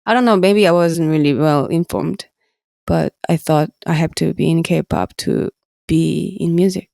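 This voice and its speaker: A woman in her thirties with a Korean accent, a musician guest talking about her career in halting, earnest English with frequent light laughs. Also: deep voice, creaky, mellow, no room sound, clean studio sound. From South Korea. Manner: halting, earnest, laughs often, simple phrasing. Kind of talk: casual interview talk.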